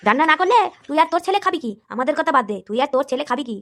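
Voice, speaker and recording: A woman's voice: high voice, animated, rapid-fire. Swooping, quarrelsome cartoon mother-in-law acted by a woman. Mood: taunting, quarrelsome. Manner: bickering, taunting, indignant. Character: quarrelsome, indignant mother-in-law defending her husband and son. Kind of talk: amateur comedy cartoon acting.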